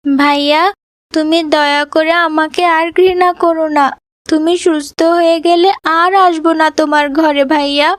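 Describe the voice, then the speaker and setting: A woman's voice: high voice, fairly even intonation, normal speed, no echo, clean studio sound, lightly acted. A woman voicing a devoted little sister, a young girl with a tender, pleading manner, in a children's cartoon. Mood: tender, earnest. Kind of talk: children's moral cartoon voice acting.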